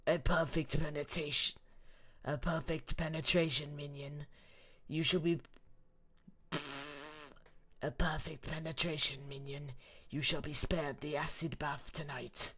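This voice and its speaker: A reader voicing a creepy, gloating male cult leader with an odd, unplaceable foreign accent and a drawn-out theatrical manner, in an amateur drama. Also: medium pitch, steady tone, a bit bright, husky. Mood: leering satisfaction. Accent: odd, unplaceable put-on foreign.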